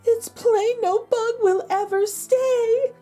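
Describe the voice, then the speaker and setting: Woman voicing a high, pleading lonely lady spider. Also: steady tone, slow, warm tone, soft background music. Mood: tearful. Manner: plaintive, imploring. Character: lonely, pleading, sweet female spider hostess.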